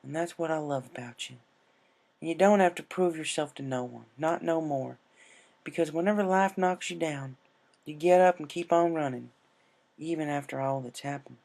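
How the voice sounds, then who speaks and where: A folksy cartoon farm pony mare voiced by a male reader. She is plain-spoken and encouraging, with a raised pitch above an everyday male voice. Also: moderate pace, vocal fry, darkish tone. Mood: affectionate.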